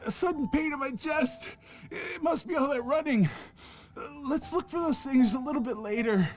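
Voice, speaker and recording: A man's voice: very high voice, normal speed, faint music behind. A man voicing a nervous traveling merchant who stammers and fumbles his words, overacting his panic. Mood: stammering. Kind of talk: video game voice acting.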